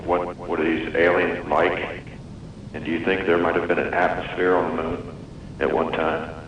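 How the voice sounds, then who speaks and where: A man's voice: sits low, flat delivery, normal speed, distant mic. A man calling a radio show by phone to put questions to the guest. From United States. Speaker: caller. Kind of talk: asking questions on a radio call-in. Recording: muffled, echoey, noisy phone call to radio.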